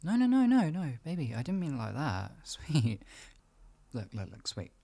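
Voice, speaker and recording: Casting: male actor for gentle, reassuring boyfriend, ASMR comfort roleplay. Raspy, fry-edged voice, soft coaxing delivery. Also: on the high side, huge pitch swings, normal speed, heavily acted. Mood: gentle concern. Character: gentle, doting boyfriend reassuring his partner.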